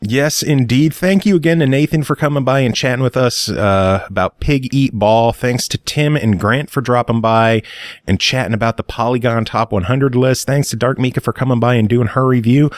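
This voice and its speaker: A man's voice: medium pitch, moderate pace, no room sound. A man hosting a podcast in casual chat, wrapping up with dry, self-deprecating jokes. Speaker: podcast host.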